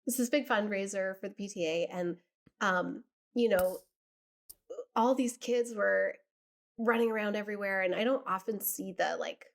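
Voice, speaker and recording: Woman early 40s, average pitch, chatty cohost, rambly storytelling. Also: normal speed, gravelly. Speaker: podcast host. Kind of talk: casual chat.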